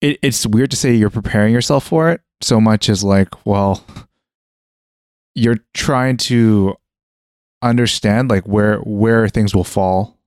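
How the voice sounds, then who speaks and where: A man's voice: lowish voice, takes it slowly, darkish tone, smooth, no echo, clean studio sound. A young man in casual conversation, talking through his thoughts hesitantly with many restarts and fillers. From Western world (Canada).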